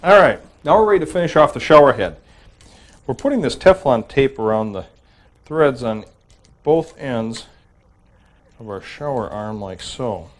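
Male how-to host, mid-pitched, calm demonstrator voice, walks through each step plainly. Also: a little slow, long pauses. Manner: plain, steady explaining while demonstrating. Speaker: home improvement host.

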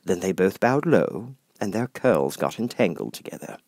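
A man reading a children's classic aloud as an audiobook narrator, in expressive storytelling style. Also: low voice, moderate pace, no room sound, studio quality.

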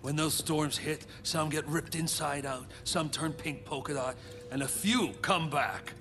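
An actor playing a world-weary, sardonic older soldier in a video game, talking in a wry, coarse, informal way. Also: male voice, a little high, measured pace, over music. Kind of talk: cinematic video game acting.

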